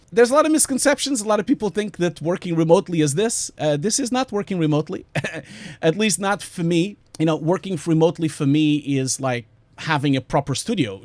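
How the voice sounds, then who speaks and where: A man's voice: fairly high pitch, no echo. A man in his forties from Portugal with a Portuguese accent, presenting a webinar from his home studio in a chatty, self-interrupting way with frequent fillers.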